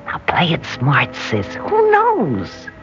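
An actress playing a brash, lively young 1940s woman with a slangy, wisecracking way of talking in an old radio drama. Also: low voice, slow pace, heavily acted. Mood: teasing, concerned. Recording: dull vintage radio recording, faint music.

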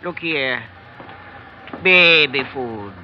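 An actor playing a wry, roguish Irish villain aboard ship, with a sly theatrical lilt, in old radio drama style. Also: male voice, medium pitch, expressive, drawn-out words. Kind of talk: 1940s radio drama acting. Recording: dull, noisy old radio recording.

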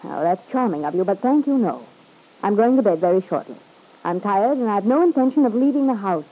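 An actress voices a proud, irritated wife in an old radio play. She answers in clipped, indignant lines with a mellow, warm timbre. Her pitch moves in wide, lively swings. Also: fairly quick, lightly acted, low-quality recording. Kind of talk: 1940s radio drama acting.

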